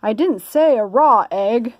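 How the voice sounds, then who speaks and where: A woman voicing a grumpy, exasperated man of the house in a storybook, loud and blustering. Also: fairly high pitch, expressive, unhurried, dry recording, muffled recording, voice pushed up.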